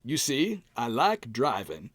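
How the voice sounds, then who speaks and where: A man's voice: medium pitch, wildly swooping pitch, takes it slowly, a bit bright, husky, no echo, studio quality, exaggerated voice. An American man playing a bumbling, cheerful packrat husband in old-time radio comedy style, folksy and hemming as he makes excuses. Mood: folksy, chatty.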